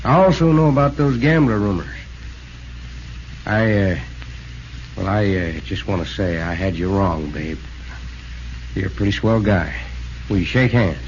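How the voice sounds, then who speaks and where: An American man playing a gruff rival pitcher with grudging respect in a 1940s radio drama. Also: expressive, a little slow, pauses often. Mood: grudging, sheepish. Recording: muffled, hissy old radio recording.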